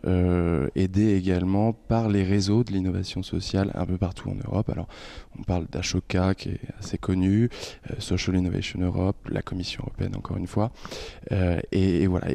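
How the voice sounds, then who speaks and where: A man interviewed as a guest, explaining his work with halting phrases and many fillers. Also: low-pitched, vocal fry, warm tone, no echo. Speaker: guest, social innovation organizer. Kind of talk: radio interview answers.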